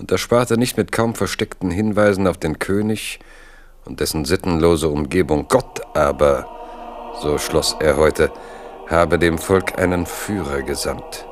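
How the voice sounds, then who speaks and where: A man's voice: sits low, unhurried, a little hiss or hum. A man narrating a scripted historical radio drama.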